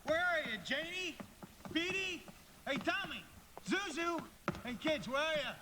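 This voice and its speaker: Desperate family man, high agitated voice, stammering pleas, live radio drama with noisy sound. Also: animated, unhurried. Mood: frantic. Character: desperate, distraught everyman husband and father, George. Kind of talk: live radio play acting.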